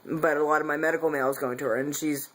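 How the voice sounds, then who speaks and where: A man's voice: a little high, steady tone, fast, fairly bright tone, rough recording. Rambling, candid man with a lightish voice. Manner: rambling, frequent restarts and self-corrections; candid, confessional. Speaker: vlogger. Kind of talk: casual personal vlog.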